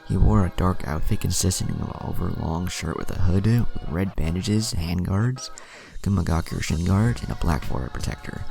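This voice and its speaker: A man's voice: deep voice, normal speed, gravelly, darkish tone, soft background music. A man reading a fan fiction story aloud as scripted narration with character dialogue.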